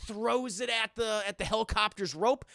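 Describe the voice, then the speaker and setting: Male review streamer, high bright voice, excitable and sweary, acting out scenes for chat. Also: normal speed, vocal fry, no echo, clean studio sound. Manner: profane, acts out characters' dialogue excitedly. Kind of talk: animated scene-by-scene recap for live chat.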